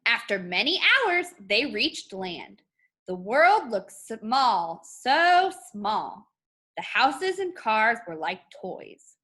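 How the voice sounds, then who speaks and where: A woman with a fairly high, very bright voice reads a children's book aloud. Her intonation is highly animated and expressive, shaped like a teacher guiding young students through each page. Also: slow pace. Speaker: art teacher.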